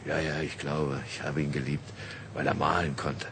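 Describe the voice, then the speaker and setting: An actor playing a gruff, ragged old Parisian tramp with a wistful side, in a halting, grumbling radio drama manner. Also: male voice, rumbling low, flat delivery. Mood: wistful, reminiscing. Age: older. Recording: clearly noisy background ambience.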